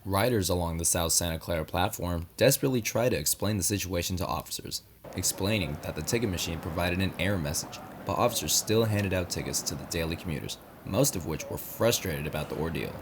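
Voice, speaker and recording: A man's voice: sits low, quick pace, noisy background. A man working as a news reporter, reading scripted narration for a news story.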